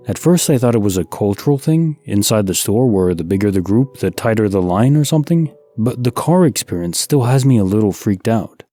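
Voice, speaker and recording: A man with a lowish, mellow voice reads stories in a calm, steady, scripted way. His tone is soft and soothing, meant to lull a listener toward sleep. Faint music plays beneath him. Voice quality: soft and low. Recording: light music underneath.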